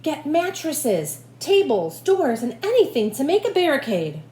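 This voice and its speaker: A woman voicing panicked storybook townspeople with loud, urgent cries, recorded in an echoey room. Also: high-pitched, sing-song, a little slow, voice pushed up. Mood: alarmed. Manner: loud, urgent, raised calls. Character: panicked townspeople and mayor crying out in alarm. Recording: echoey room with faint background noise.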